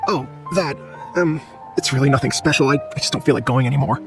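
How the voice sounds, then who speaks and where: A man's voice: medium pitch, fast talker, creaky, music in the background, lightly acted. An actor playing a nervous, chatty, hesitant young male researcher in a video game. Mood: evasive, nervous.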